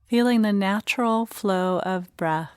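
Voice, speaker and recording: Woman doing guided meditation, really slow and gentle, dry close sound with no room echo. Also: medium pitch, clean tone. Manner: gentle, unhurried pauses between short phrases.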